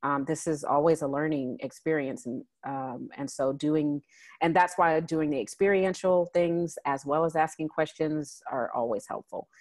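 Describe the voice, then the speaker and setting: A woman with a notably low voice co-hosts a relaxed online discussion over a video call. She thinks aloud with frequent "um"s and restarts. Her tone is reflective and unhurried. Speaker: co-host of a discussion salon. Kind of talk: casual group discussion.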